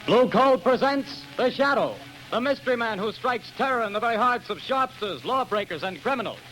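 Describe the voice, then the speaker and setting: A man announcing in a booming, emphatic 1930s radio style. His voice sits high, and faint music plays behind him on the old broadcast recording. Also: expressive, a little fast, poor audio.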